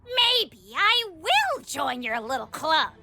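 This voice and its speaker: A woman's voice: high voice, wildly swooping pitch, slow, music in the background, full character voice. A woman voicing a brash, cocky young female cartoon troublemaker with a mocking, swaggering manner, in a comic dub. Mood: smug taunting. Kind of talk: animated comic dub acting.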